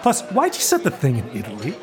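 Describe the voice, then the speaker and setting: An actor playing a blunt, condescending theatre adviser in a comic audio play, glib, emphatic and sarcastic. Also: male voice, a little high, wildly swooping pitch, fast talker, crowd noise. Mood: exasperated, glib. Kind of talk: comedic audio play dialogue.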